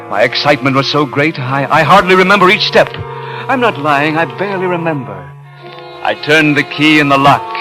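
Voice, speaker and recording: A tormented male killer confesses in a fairly high voice with halting, obsessive intensity. It is vintage 1950s radio suspense, with dramatic music strong behind him. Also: rough recording. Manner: halting, brooding, intense, repetitive.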